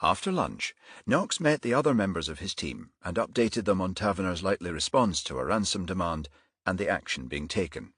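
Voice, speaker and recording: A man's voice: sits low, big pitch swings, fairly quick, fairly bright tone, husky, no room sound, clean studio sound. A man narrating a crime thriller audiobook from a script.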